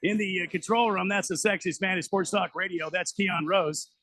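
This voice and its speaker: A man's voice: sits high, steady tone, moderate pace, pushed and bright. A man hosting a live sports talk radio show, chatty and full of hesitant fillers and restarts.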